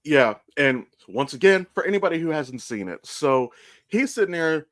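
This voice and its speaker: An American man co-hosting a podcast, chatting casually and telling stories in a blunt, animated way with plenty of swearing. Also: fairly high pitch, moderate pace, no room sound, clean studio sound. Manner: blunt, profane, animated storytelling. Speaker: podcast co-host. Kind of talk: casual chat.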